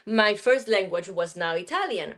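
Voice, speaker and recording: A woman talks as an interview guest. She tells stories at an easy, conversational pace, often pausing on "you know" and "um". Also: medium pitch, animated. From Colombia. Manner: warm storytelling with many fillers. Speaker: film director.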